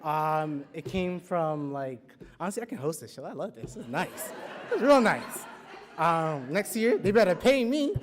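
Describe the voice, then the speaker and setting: A man's voice: fairly high pitch, expressive, normal speed, in a large hall, some chatter behind. A man giving a casual, joking acceptance speech, with some swearing. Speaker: award winner.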